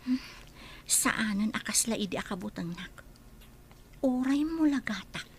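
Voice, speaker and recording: A woman playing a troubled, worried parent with a husky voice in a Filipino radio drama. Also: a little high, expressive, measured pace, full character voice. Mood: worried, brooding.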